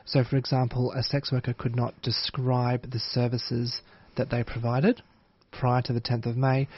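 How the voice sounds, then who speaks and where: A man, a policy advisor guest in a radio studio interview, explaining things in a measured way. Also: medium pitch, no room sound, lo-fi sound.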